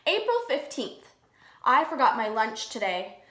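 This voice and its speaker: A woman's voice: fairly high pitch, sing-song, measured pace, a bit bright. A woman reading a picture book aloud to young children like a preschool teacher, explaining in simple words and asking them questions. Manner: simple explanatory phrasing, prompting listeners with questions. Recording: echoey room.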